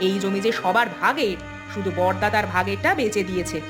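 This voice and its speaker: A woman playing a sulky, sharp-tongued, shrewd village wife in a cartoon, talking in a scolding, questioning, colloquial way. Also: mid-range voice, fairly quick, lightly acted. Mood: scheming. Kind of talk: cartoon dialogue. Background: music.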